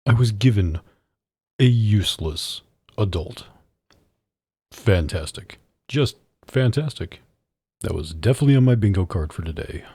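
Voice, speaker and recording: A man playing a domineering, sardonic mafia boss in an intimate ASMR roleplay, deliberate and commanding, deep and husky, close on a studio microphone. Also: expressive, mellow, no echo, exaggerated voice. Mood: sarcastic, exasperated.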